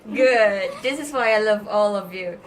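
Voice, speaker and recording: A woman's voice: mid-range voice, unhurried, a little hiss or hum, lightly acted, poor audio. A woman playing an encouraging but firm female teacher in a scripted student puppet show lesson. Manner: instructive, addresses class with questions and praise. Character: encouraging female teacher, praising yet gently scolding her students.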